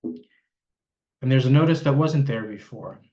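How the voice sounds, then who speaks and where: A man leading an improvised guided meditation, speaking hesitantly and pausing mid-sentence. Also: medium pitch, animated, dark tone, lo-fi sound.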